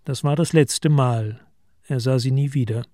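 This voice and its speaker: A man narrating a German radio play as scripted literary storytelling, in a measured and deliberate manner. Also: mid-range voice, dark tone, no room sound, studio quality.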